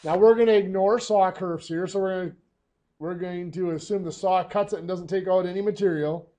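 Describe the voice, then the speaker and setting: A hoarse, coughing man teaching a math lesson, walking through each step patiently. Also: sits high, fairly even intonation, brisk, dark tone.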